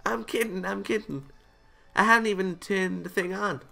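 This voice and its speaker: A man's voice: high voice, fairly even intonation, normal speed, vocal fry, sharp tone, soft background music, voice pushed up, heavily acted. A man voicing a mischievous, teasing teenage girl from an anime visual novel in a coy way. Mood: playful teasing.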